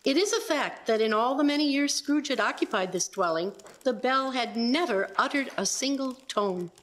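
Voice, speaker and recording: A woman serves as narrator in a radio play. She reads in a dramatic storybook manner, her voice sitting a little high. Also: lively intonation, moderate pace, background noise.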